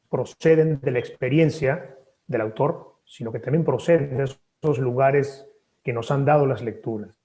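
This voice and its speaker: A man, a poet and native Spanish speaker, speaking thoughtfully at a book presentation with measured hesitations. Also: mid-range voice, mellow. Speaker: poet and author. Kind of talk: reflective talk at a book presentation.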